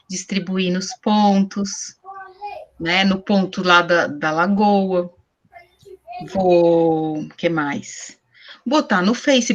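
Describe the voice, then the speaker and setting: A woman's voice: medium pitch, a bit bright, dull audio. Workshop teacher, woman in her forties, chatty explanatory tone, laptop webcam at home. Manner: chatty, explanatory, asks herself questions. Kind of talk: online class teaching. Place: small room at home. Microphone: laptop webcam on video call.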